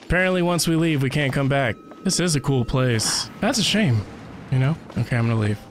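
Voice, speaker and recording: A man's voice: medium pitch, animated, fairly quick, a little hiss or hum. A middle-aged man streaming a game from a home room, casually commenting and reading dialogue choices aloud. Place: small home room, game music behind.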